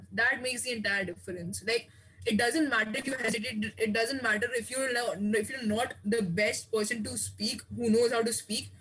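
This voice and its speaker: A man's voice: very high voice, bright, forceful tone, breathy edge, rough recording. A teenage boy whose first language is Hindi, speaking English on a video call with earnest encouragement and frequent restarts. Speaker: student. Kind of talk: sharing advice on a video call. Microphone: headset on video call.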